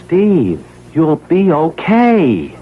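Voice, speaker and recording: An actor playing a gruff, bullying American crook husband, brusque and impatient, in old radio drama style. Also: male voice, a little high, huge pitch swings, very slow, muffled recording, a little hiss or hum, exaggerated voice. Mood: exasperated. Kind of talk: vintage radio drama acting.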